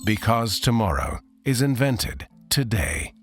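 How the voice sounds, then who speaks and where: A man reading a scripted promotional voice-over with a slow, emphatic delivery. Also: mid-range voice, no echo. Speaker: promo video narrator. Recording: voice-over with loud music behind.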